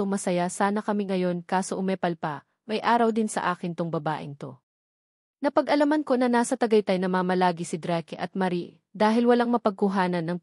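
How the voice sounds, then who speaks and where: A woman's voice: mid-range voice, darkish tone, no room sound, clean studio sound. A woman reading a first-person romance novel as scripted narration. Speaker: audiobook narrator.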